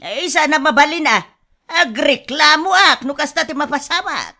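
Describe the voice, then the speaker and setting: A woman's voice: sits high, expressive, moderate pace, sharp tone, heavily acted. A woman playing a grasping family daughter in a radio drama, with an Ilocano accent. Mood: insistent. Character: grasping, argumentative eldest daughter, sweetly grateful when given money.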